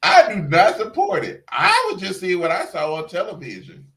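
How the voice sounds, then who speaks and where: Very animated man in playful banter. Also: high-pitched, normal speed. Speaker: co-host of a TV review livestream. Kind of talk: casual chat.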